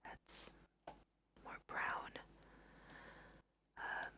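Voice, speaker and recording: A woman softly whispering ASMR game commentary, thinking aloud in an unhurried way as she guides listeners through her play. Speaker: let's play host.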